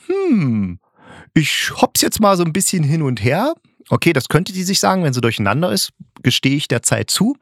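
Male reviewer, lowish pitch, dry sound. Casual, unscripted, argumentative, working through thoughts out loud. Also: no room sound. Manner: musing, argumentative, colloquial, thinking aloud. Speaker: audio drama reviewer and host.

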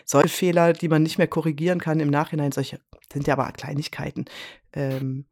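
A woman's voice: sits low, little pitch movement, fairly quick, a little mellow, no echo, clean studio sound. A woman talking casually as an interview guest, informal and given to voicing imagined quotes. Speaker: radio drama director, interview guest.

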